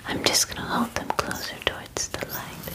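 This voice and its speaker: A young adult woman doing a whispered ASMR personal-attention roleplay as a spa groomer, gentle and soothing, with a breathy voice and softly repeated words, close to the mic in a small room. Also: normal speed. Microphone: close mic, speaking near it.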